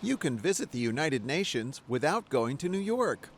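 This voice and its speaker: A man's voice: on the high side, normal speed, a little hiss or hum. A man hosting and narrating a documentary in a wry, conversational way, sometimes asking interview questions. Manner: wry, conversational, lively delivery. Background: music under the narration.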